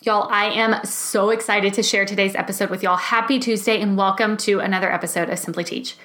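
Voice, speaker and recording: A woman's voice: mid-range voice, steady tone, brisk, bright, forceful tone. Chatty woman hosting a podcast. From Texas. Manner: upbeat, chatty, says y'all. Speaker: podcast host. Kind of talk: podcast intro and interview.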